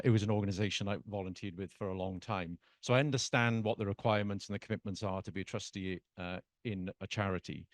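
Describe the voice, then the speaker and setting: South welsh man, lowish voice, earnest meeting speech, dry sound. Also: dry recording. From South Wales. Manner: earnest, with frequent "uh" fillers. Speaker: trustee candidate.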